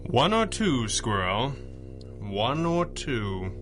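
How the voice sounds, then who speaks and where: A confident masked boss hero in an old-style radio adventure. He sounds dry and composed, with a crisp, forceful tone over music. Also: medium pitch, big pitch swings, takes it slowly. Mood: assured. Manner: dry, articulate, unruffled. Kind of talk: 1930s-style radio drama acting.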